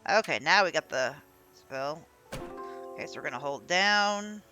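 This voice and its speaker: Woman gameplay host, casual talk-through, tense bright tone, animated pitch. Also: unhurried, muffled recording, soft background music. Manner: thinks aloud while playing, reads menus. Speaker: gameplay streamer. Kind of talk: casual game commentary.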